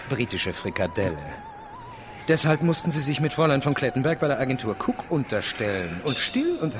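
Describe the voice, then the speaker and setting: An actor playing a world-weary, sardonic travel writer, speaking with dry literary irony in a radio drama. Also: male voice, mid-range voice, background noise, lightly acted. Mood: sardonic, probing. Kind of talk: radio drama narration and dialogue. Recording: music behind the voice.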